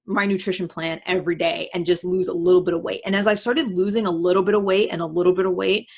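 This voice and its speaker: A woman giving casual motivational advice as a host, conversational and emphatic, often voicing imagined self-talk. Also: mid-range voice.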